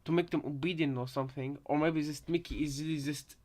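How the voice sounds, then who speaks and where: Higher-voiced man rambling on a live stream. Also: steady tone, brisk, a little mellow. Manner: hesitant, rambling, repeats phrases. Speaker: live streamer reacting to game content. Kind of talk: casual live stream reaction chat. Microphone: headset.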